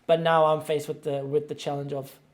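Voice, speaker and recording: A man's voice: on the high side, little pitch movement, brisk. Casual New Zealand-accented young man. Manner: loose and conversational, lots of um and you know. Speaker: guest. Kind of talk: casual interview chat.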